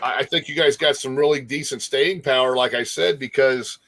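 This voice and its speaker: Bright-voiced man hosting a casual interview. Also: medium pitch, fairly even intonation, moderate pace. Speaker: talk show host interviewing a musician. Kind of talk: casual music interview chat.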